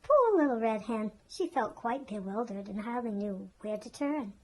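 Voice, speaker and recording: Female children's storyteller, fairly high-pitched, for storybook narration. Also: animated, normal speed, rough recording.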